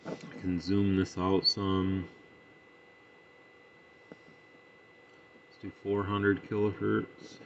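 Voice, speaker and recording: A man with a deep, creaky voice talks through a hands-on demonstration in a matter-of-fact, unscripted way. He seems to think aloud as he goes. The sound is a little dull, with faint noise underneath. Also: little pitch movement, very slow, long pauses. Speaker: radio hobbyist demonstrating and testing equipment. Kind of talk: casual off-the-cuff product demonstration.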